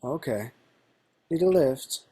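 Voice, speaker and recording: A man's voice: mid-range voice, expressive, takes it slowly, mellow, slightly rough. A man reading a friendly, naive young police constable who asks eager, worried questions, on a video call. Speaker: table read actor.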